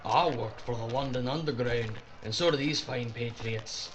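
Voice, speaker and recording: A man's voice: mid-range voice, normal speed, background noise, natural voice. An actor playing a blunt, defiant working-class Scotsman in an audio drama, with a Scottish accent.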